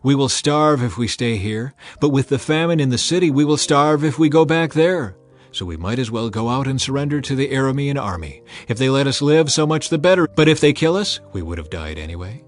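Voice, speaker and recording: A man's voice: mid-range voice, lively intonation, fairly quick, faint music behind, close to an everyday voice. A man reading as desperate starving outcasts. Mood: resigned.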